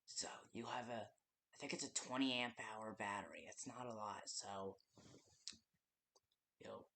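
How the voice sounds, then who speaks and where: A performer playing a hesitant, nervous guide in a game, giving survival instructions in a halting, often hushed voice. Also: medium pitch. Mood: hesitant, cautioning. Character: hesitant, nervous guide talking a night-shift survivor through danger. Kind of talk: game tutorial monologue.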